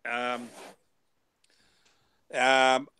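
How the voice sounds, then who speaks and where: A man's voice: medium pitch, monotone, drawn-out words, a crowd in the background. A man in his fifties chatting casually as host, rambling and repeating his words, now and then swearing. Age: 50s. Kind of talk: casual chat.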